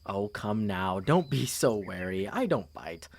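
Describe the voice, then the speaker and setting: Smug young royal suitor voiced by a man, fantasy ASMR drama, patronizing and theatrical, very animated intonation. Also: lowish voice, a little slow, full character voice. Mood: smug, commanding. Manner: smooth, pompous, theatrically expressive. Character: smug, condescending young prince courting a princess. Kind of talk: ASMR fantasy audio roleplay.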